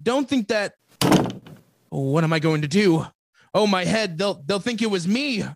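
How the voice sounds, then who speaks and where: A man's voice: high-pitched, expressive, normal speed, slight background noise. A man playing a melodramatic, self-pitying betrayed husband in an old-time radio comedy mystery. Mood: frantic. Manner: melodramatic, exclamatory.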